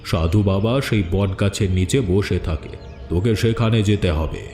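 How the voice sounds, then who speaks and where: A man's voice: low-pitched, unhurried, warm tone. An actor playing a firm, insistent village man in a dramatic fairy-tale cartoon. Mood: stern, scheming. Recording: echoey studio dub with background music.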